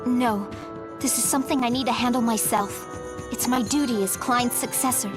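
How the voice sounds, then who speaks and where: A woman playing an earnest, emotional teenage girl with a noble manner in a game, speaking in an expressive, youthful way. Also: fairly high pitch, normal speed, lightly acted. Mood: earnest, resolute. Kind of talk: English game dub acting. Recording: game soundtrack music behind the voice. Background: background music.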